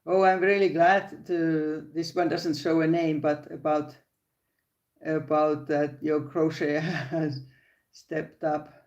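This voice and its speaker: Older Finnish-accented woman, halting live chat. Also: low-pitched, little pitch movement, darkish tone, echoey room, highs cut off. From Finland. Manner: halting, restarts sentences, laughs often. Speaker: crochet channel host. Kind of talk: casual live-stream chat. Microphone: phone.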